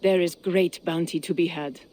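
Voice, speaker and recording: An actress playing a stately ruler who speaks in a measured, assured way. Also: female voice, lowish voice, fairly even intonation, dark tone, a little hiss or hum, close to an everyday voice.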